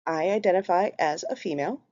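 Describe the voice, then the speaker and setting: A woman's voice: on the low side, quick pace, mellow. A woman in her thirties from Minnesota with a Minnesotan accent, earnestly reading a prepared class presentation. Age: 30s. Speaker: student presenter. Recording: slightly muffled lo-fi recording.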